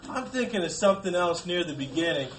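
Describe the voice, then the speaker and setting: A male teacher with a fairly high voice leads an interactive read-aloud lesson. He keeps prompting his pupils with questions and gives them instructions as he goes. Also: lively intonation, quick pace, a bit bright, slight background noise.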